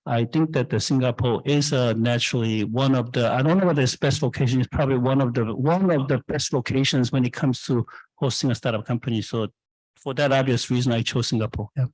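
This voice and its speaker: A man in his fifties, originally from South Korea, answers questions from an event audience in a candid, rambling way, stopping and restarting often. His voice is mid-pitched and recorded dry, with no room echo. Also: fairly even intonation, a little fast, darkish tone. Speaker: startup founder and CEO speaking at an event.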